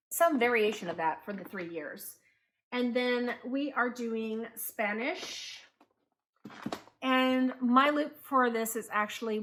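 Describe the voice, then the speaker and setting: Chatty homeschooling mom. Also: female voice, fairly high pitch, a bit bright. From Taiwan. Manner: chatty, corrects herself mid-sentence. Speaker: homeschooling parent and channel host. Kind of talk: casual talk to camera.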